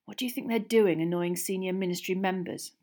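A woman's voice: medium pitch, steady tone, a little fast, warm tone, lightly acted. A woman voicing a self-important, officious young man with a pompous, fussy manner for an audiobook. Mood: disapproving.

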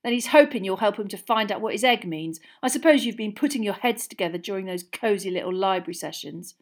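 Sulky, scathing teenage boy wizard, played by a woman narrator. Accusing, forceful, swinging intonation, audiobook fantasy. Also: medium pitch, lively intonation, fast, lightly acted. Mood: nasty, sneering. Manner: accusing, sneering, loud.

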